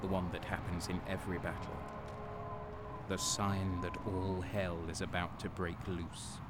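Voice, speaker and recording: A man playing a jaded guardsman who narrates his war with dark relish in brooding, deliberate first-person storytelling. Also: low-pitched, level tone, heavy background noise. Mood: grim, foreboding.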